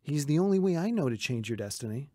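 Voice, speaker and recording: Radio drama role: devout male coworker, sincere and persistent, slightly rough voice, lively intonation, dry recording. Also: on the high side, quick pace, no room sound. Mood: earnest. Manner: earnest, emphatic, recites scripture solemnly.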